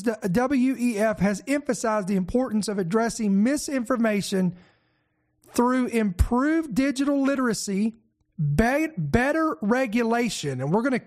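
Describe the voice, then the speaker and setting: A man hosting and pastoring a news commentary show, his voice raspy and congested from a head cold, talking in an energetic, emphatic way. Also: extremely high pitch. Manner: energetic, emphatic preacher-style delivery with fillers. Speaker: host and pastor.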